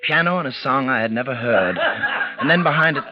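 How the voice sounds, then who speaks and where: A man performs an uneasy storyteller in an old radio suspense play. His delivery is deliberate and dramatic, at a medium pitch. Music plays behind the dull vintage recording. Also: animated, lightly acted. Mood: tense, wary. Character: tense, uneasy man narrating his own frightening night. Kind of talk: 1940s radio drama, first-person narration.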